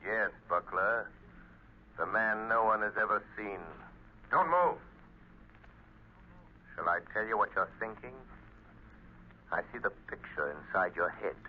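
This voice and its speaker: An actor playing the Shadow, a commanding unseen crime-fighter, speaking slowly and menacingly in a 1930s radio drama. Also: male voice, lowish voice, lively intonation. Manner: slow, measured, menacing. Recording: muffled old radio recording with faint hiss.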